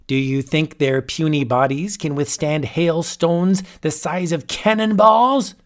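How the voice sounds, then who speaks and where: Man voicing a mad old wizard, raised gravelly voice, slow ranting delivery, storybook villain. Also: a little high. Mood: menacing. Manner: ranting, theatrical, rising to shrieks. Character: raving old male warlock, grandiose and unhinged.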